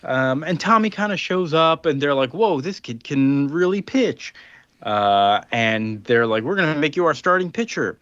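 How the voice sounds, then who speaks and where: A man's voice: a little high, animated, normal speed, a bit bright, no room sound, lo-fi sound. Man recapping a comic casually. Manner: rambling recap with filler words and chuckles. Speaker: podcast co-host. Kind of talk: casual comic book discussion.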